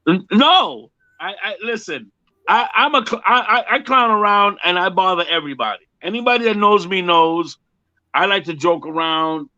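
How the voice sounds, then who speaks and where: A man's voice: sits high, lively intonation, moderate pace, pushed and bright, no echo. A man in his fifties, a music performer chatting casually in an interview, joking and repeating himself emphatically on muffled lo-fi audio. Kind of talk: casual one-on-one chat.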